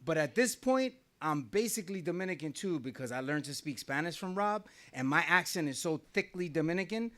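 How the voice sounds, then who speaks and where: A man's voice: fairly high pitch, expressive, a little fast, few pauses, fairly bright tone. A middle-aged man from New Jersey telling a wry, conversational personal story on stage at a small live venue, often laughing as he talks. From New Jersey, USA.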